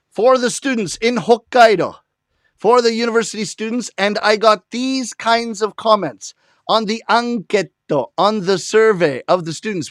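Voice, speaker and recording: A man who is a comedic storyteller, talking to the camera in an animated way and chuckling at his own jokes. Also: high-pitched, no room sound. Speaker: rakugo storyteller.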